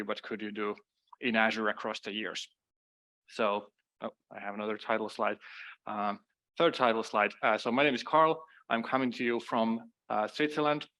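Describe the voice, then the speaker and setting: A middle-aged man speaks with a clear Finnish accent, his voice sitting at an ordinary pitch. He presents a conference session in a loose, conversational way, stopping to restart phrases and dropping in self-deprecating asides. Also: fairly bright tone. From Finland. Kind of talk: conference talk.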